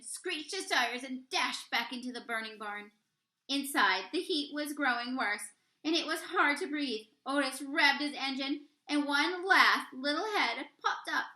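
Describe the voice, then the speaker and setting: A woman reading a children's picture book aloud expressively, with deliberate, dramatic emphasis on key words. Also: sits high, bright, forceful tone, roomy sound. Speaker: read-aloud storyteller, teacher reading to children.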